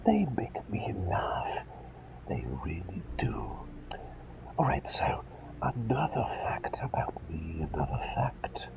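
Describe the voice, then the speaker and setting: A man performing a whispered ASMR roleplay as a gentle, eccentric plague doctor, with courteous old-fashioned phrasing and a dithering, repetitive way of talking. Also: deep voice, huge pitch swings, takes it slowly, creaky, poor audio. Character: gentle, eccentric, absent-minded male plague doctor, polite and chatty.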